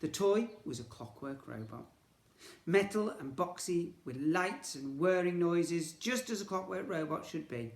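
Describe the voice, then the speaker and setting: Male storyteller for young children, expressive and theatrical, recorded in a roomy, echoing space. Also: a little high, unhurried. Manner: theatrical, expressive, with emphatic sound words. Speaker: teacher reading a story to children. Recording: echoey room.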